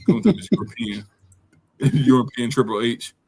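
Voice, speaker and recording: A man's voice: mid-range voice, expressive, moderate pace, creaky, a little mellow. A man in his thirties hosting a casual podcast from home, reading viewer comments in loose slang, laughing often and mimicking people. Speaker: podcast host. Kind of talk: casual live-stream chat reading comments.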